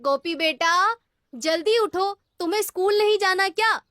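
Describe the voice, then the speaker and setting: A woman's voice: very high-pitched, measured pace, sharp tone, dry recording, faint music behind, full character voice. A woman voicing an affectionate, devout village mother in a children's cartoon story. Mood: calling loudly.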